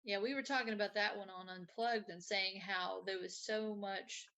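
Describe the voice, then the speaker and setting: Female live show host, bright tone, mid pitch, informal and a bit rambling. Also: fairly even intonation, normal speed. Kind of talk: casual chat, reading out viewer comments.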